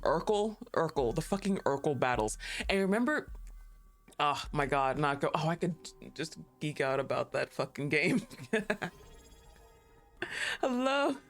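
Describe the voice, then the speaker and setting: Excitable male streamer chatting, music behind. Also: high voice. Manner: excitable, laughs often, swears casually. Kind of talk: casual livestream chat.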